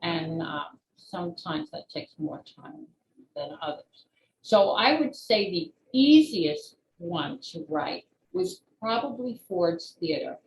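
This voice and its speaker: A woman's voice: fairly low pitch, big pitch swings, takes it slowly. A woman talking as an interview guest, an author explaining her work in careful detail. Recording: roomy echo, faint background noise.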